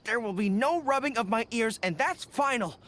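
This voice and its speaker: A man's voice: very high-pitched, animated, moderate pace, pushed and bright, heavily acted. An actor playing an earnest, spirited young male army general in a video game, speaking sincerely. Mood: flustered, indignant. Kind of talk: video game character voice lines.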